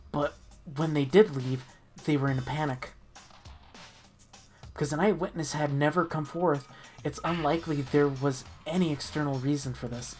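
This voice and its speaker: A woman reading a visual novel game aloud in an amateur dramatic style, expressive and stumbling over her words. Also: deep voice. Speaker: reader of the protagonist's lines in a group playthrough. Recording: music playing behind the voice. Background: game music.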